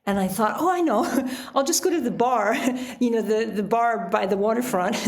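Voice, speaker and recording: A woman speaking as an interview guest and writer, chatting casually in an animated storytelling way. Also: on the high side, normal speed. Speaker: podcast guest, adventure writer.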